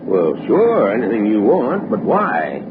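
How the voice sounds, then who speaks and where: An actor playing a cautious, gruffly good-natured mine hand with a rustic dialect in a 1960s radio drama. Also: male voice, on the low side, wildly swooping pitch, unhurried. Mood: friendly. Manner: rustic, drawling dialect with dropped grammar. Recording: noisy, tinny old radio broadcast.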